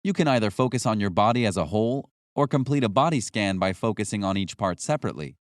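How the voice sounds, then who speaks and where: A man reading a scripted nonfiction audiobook as narrator, in a measured, instructional way. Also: lowish voice, animated, no echo, studio quality.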